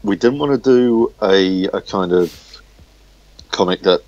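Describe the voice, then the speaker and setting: Really low-voiced guy in a casual interview, kinda halting, repeats words, muffled phone recording. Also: darkish tone, no echo, faint music behind. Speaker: interviewed comic book writer. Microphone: smartphone recorder.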